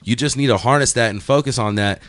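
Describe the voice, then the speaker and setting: A man's voice: mid-range voice, a bit bright. A man, a rapper telling stories in a casual podcast interview, cursing freely in a laid-back way. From Texas. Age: 30s. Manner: laid-back storytelling, heavy cursing, quick laughs. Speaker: guest rapper.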